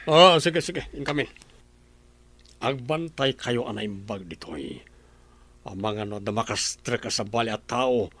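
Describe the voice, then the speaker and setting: A man's voice: mid-range voice, animated, measured pace, pauses often, fairly bright tone. A man voicing a greedy uncle who leads a kidnapping, giving orders in a gloating, sinister way in a radio drama. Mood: scheming.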